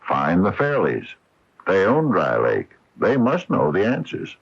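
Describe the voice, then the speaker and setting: An actor playing an older, hesitant and courteous small-town hardware store owner in a radio mystery drama, with a gravelly voice. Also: male voice, sits low, huge pitch swings, unhurried, full character voice. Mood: perplexed, resolute. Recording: dull lo-fi radio broadcast recording.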